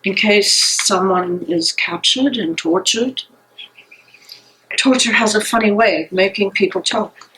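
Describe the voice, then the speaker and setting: A woman in a dramatic stage reading playing a resolute anti-Nazi conspirator, deliberate and earnest. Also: medium pitch, sharp tone, poor audio. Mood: guarded, grim.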